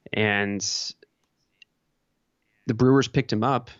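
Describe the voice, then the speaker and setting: A man with a fairly low voice on a slightly dull, lo-fi recording with little room sound. He chats informally, like a podcast co-host talking sports and collecting. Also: fairly even intonation, slow pace, dry recording. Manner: informal, conversational. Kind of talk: casual sports and hobby chat.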